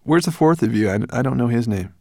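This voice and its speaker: A cheerful small boy from a storybook, acted out by a man. He is eager and open, lifted in pitch, with a dry, close sound. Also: expressive, fast talker, creaky, darkish tone, no room sound.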